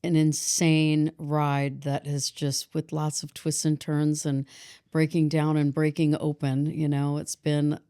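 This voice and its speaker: A woman in her forties, a podcast guest, telling her life story in a reflective, halting way. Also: low-pitched, little pitch movement, mellow, clear, resonant tone. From Boston, grew up in San Diego. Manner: reflective, halting, with restarts and fillers. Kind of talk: personal story in a podcast interview.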